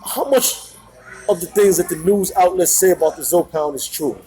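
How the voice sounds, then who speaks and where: A male interviewer with a slightly raised pitch speaks in a measured, deliberate way, setting up the story and then putting his questions. Faint music runs under his voice. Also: warm tone, rough recording. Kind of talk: magazine interview with scripted intro.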